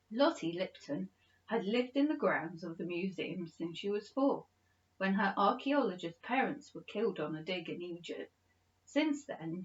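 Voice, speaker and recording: Woman narrating a bedtime story, slightly muffled. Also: lowish voice. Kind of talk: expressive scripted children's story narration.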